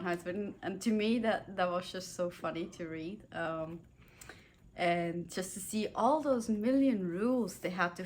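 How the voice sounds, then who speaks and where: A young woman, a native German speaker, talking casually about books she read, giggling often and using lots of fillers and restarts. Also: mid-range voice. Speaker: book reviewer. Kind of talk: casual book review vlog.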